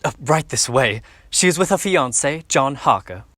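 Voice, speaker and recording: A man playing a courteous, fretful doctor and father in a radio drama, slightly husky, with a hesitant, polite manner. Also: mid-range voice, animated, moderate pace, fairly bright tone. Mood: apologetic, puzzled.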